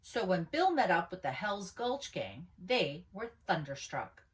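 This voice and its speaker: A woman reading a picture book aloud as a storyteller, with animated, dramatic emphasis on key words. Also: on the low side, measured pace. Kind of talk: expressive children's story read-aloud.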